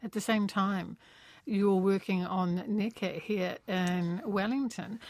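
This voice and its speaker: A woman with a New Zealand accent conducts a conversational interview. Her voice sits at a middle pitch and she sounds thoughtful and encouraging. The recording is close and dry. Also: fairly even intonation, slow, dry recording. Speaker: interviewer, host.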